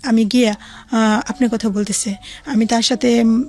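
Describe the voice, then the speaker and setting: A woman's voice: a little high, steady tone, measured pace, a little mellow. A middle-aged woman with a Bangladeshi accent, telling her life story conversationally as a guest and quoting remembered dialogue as she goes.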